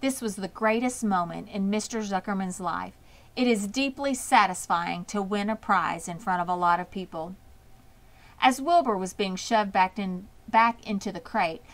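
Bright-voiced woman reading a children's story. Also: mid-range voice. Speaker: audiobook reader. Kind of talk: expressive storybook narration.

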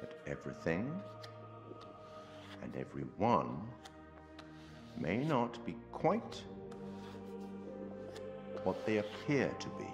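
An actor playing an enigmatic middle-aged man, delivering a composed, ominous theatrical monologue in a measured way. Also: deep voice, wildly swooping pitch, full character voice. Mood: knowing, ominous. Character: enigmatic, composed middle-aged male narrator who taunts softly. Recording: echoey room under music.

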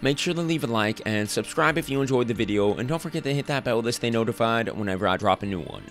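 A young man talks through a scripted commentary with a slightly hoarse, worn-down voice. His delivery is casual and loose, full of "like" fillers, at an ordinary middle pitch. Soft music plays faintly under him. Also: animated, fast. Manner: casual, filler-heavy with frequent "like". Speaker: commentary YouTuber. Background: soft background music.

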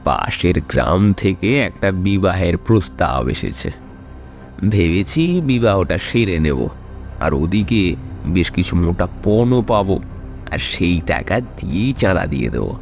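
A man's voice: sits low, sing-song, unhurried, darkish tone, faint music behind, exaggerated voice. A man voicing a scheming, self-important middle-aged landlord in a cartoon, with a lordly drawl. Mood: smug, scheming. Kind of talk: cartoon voice acting.

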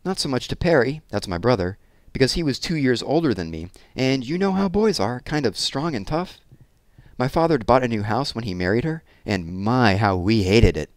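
A man's voice: mid-range voice, animated, moderate pace, no echo, voice pushed up, natural voice. A man voicing a chatty, wistful, kindly hairdresser woman with a folksy, exclaiming manner in an audiobook story. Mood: reminiscent.

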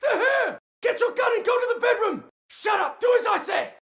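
A frantic, vicious game militant. The voice is very high and strained, shouting harshly with a gravelly edge. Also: expressive, takes it slowly, roomy sound, some chatter behind, exaggerated voice. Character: frantic, vicious militant fighter under siege. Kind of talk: video game battle shouting.

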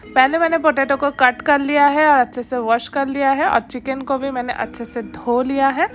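A woman hosting her home cooking channel, giving steady step-by-step instructions in a voice-over and mixing English words into her speech. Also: high voice, normal speed, soft background music.

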